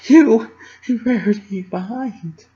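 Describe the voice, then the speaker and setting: A man's voice: sits high, takes it slowly, mellow, voice pushed up. A man voicing a distraught, guilt-ridden young female cartoon pony, choked and pleading, on a dull recording. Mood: tearful, choked.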